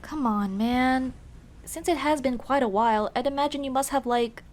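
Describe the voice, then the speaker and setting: A woman playing a young tomboy childhood friend in an ASMR roleplay, speaking in casual boyish slang with hesitant pauses. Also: high-pitched, natural voice. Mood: playful, teasing. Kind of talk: ASMR roleplay, intimate one-sided dialogue.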